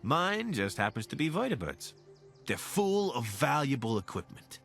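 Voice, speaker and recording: An actor playing an affable, curious wasteland scavenger in a video game, casual, wry and eager to team up. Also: male voice, mid-range voice, sing-song, normal speed, creaky, a bit bright, soft background music. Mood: persuasive. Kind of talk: video game companion dialogue.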